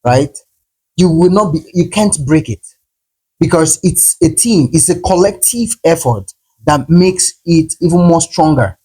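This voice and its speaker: A man's voice: fairly high pitch, lively intonation, measured pace, mellow, slightly rough. A man hosting a casual podcast interview, talking with enthusiasm and heaping praise on his guest. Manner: enthusiastic, encouraging, repeats praise. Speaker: podcast host.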